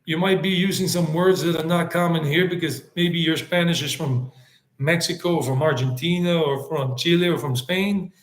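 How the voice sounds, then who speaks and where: A man's voice: a little high, moderate pace, roomy sound. A man hosting a casual live stream, chatting in a rambling way, answering viewer comments and dropping in Spanish words. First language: English.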